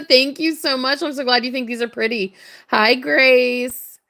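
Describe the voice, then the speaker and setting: A woman with a hoarse, worn voice, chatting casually and greeting viewers while crafting on a livestream. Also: high voice, steady tone, measured pace, sharp tone. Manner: chatty, upbeat, laughs often. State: hoarse, voice strained from hours of talking. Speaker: livestream crafter and shop owner.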